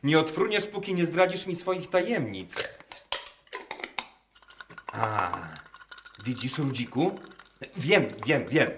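An actor playing an obsessive, officious military ornithologist in a radio drama, speaking in a deliberate, precise manner with menacing tenderness. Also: male voice, on the high side, very animated, background noise. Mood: obsessive, coaxing. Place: performed live in a wooden barn.

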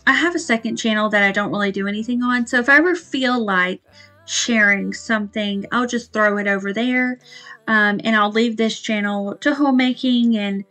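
A woman, chatting casually to camera in her kitchen about homemaking, rambling with fillers. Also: mid-range voice. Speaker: homemaking vlogger. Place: small home kitchen, indoors. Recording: soft background music.

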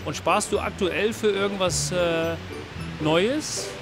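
A man's voice: high voice, slow. A middle-aged man, interviewing children as an encouraging, upbeat street reporter. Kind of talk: friendly vox-pop interviewing of children. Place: outdoors on a city street in rainy weather. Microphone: handheld mic with windscreen. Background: music, street and traffic sounds.

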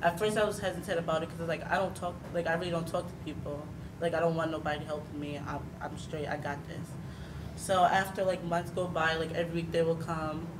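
Young American woman, flat delivery, noisy room. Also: sits low. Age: young adult. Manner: informal, halting, frequent "like". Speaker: panelist, young mother sharing experience. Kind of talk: candid personal testimony at a panel. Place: indoor meeting hall at panel table. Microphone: handheld microphone. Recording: handheld mic, noisy room.

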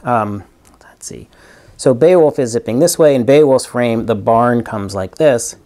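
A middle-aged man gives a physics lesson to a webcam in a small room. He talks it through step by step and thinks aloud with ums as he goes. His voice sits in an ordinary middle range. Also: mid-range voice. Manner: explains with stuffed animals and a toy barn, thinking aloud with ums. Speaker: physics professor. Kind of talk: recorded video lecture with props. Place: small room in front of a green wall.

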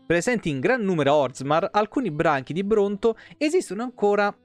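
A man's voice: sits high, big pitch swings, fairly quick, dry recording. A man, live streaming casually in slangy, foul-mouthed talk and reading game lore aloud. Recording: music playing behind the voice. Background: soft tavern guitar music.

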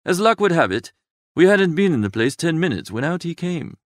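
A man reading a first-person literary story aloud as an expressive narrator. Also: fairly high pitch, brisk, dry recording, studio quality. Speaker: audiobook narrator.

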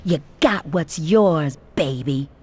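A woman's voice: low-pitched, animated, slow pace, a little mellow, slightly rough, exaggerated voice. An actress playing a tough, bitter, streetwise American woman criminal with defiant, slangy speech in a game. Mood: threatening, bitter.

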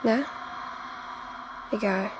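A woman's voice: very slow, very noisy. A woman playing a solitary, dry-witted schoolgirl in a radio drama, narrating her day in terse, understated phrases. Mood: dry, withdrawn. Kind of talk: first-person audio drama monologue.

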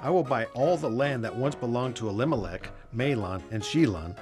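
Man delivering script lines, animated pitch. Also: brisk. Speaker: voice actor. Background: music.

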